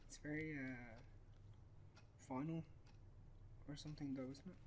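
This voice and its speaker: An actor with an Irish accent playing a grieving, bitter young father in a naturalistic radio play, halting and sweary. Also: medium pitch, steady tone, a little hiss or hum, close to an everyday voice, rough recording. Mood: subdued. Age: young adult.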